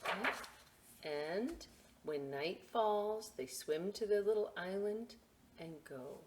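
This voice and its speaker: A woman hosting a children's story time and craft demonstration, chatting to young listeners in a playful, expressive, informal way with asides. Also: mid-range voice, slow pace, noisy background. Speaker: library story time host reading picture books and showing a craft.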